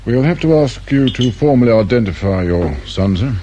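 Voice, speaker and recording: An actor playing a dry, brusque middle-aged English detective inspector in a radio drama. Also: male voice, mid-range voice, expressive, moderate pace, dark tone, lightly acted. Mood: sober, official. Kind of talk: naturalistic British radio drama acting. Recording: clear background noise and ambience.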